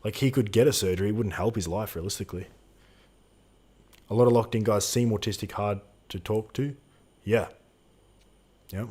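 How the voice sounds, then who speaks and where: A man's voice: fairly low pitch, moderate pace, pauses often, dark tone, slightly rough. A man in his twenties co-hosting a live Q&A stream, chatting casually and reading out viewer questions. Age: 20s. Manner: casual slangy, swears, reads out chat questions.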